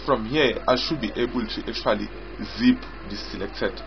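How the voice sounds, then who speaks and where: A man giving an unscripted software walkthrough as its developer, explaining haltingly and repetitively in short phrases. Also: mid-range voice, creaky. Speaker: software developer demonstrating own app. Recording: dull lo-fi audio with loud music. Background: loud music.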